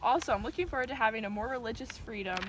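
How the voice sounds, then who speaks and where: A cheerful, rambling Irish immigrant woman, acted by a teenage girl in a school skit interview. Her voice sits fairly high, and there is clear noise behind her. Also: racing, close to an everyday voice. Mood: earnest, upbeat.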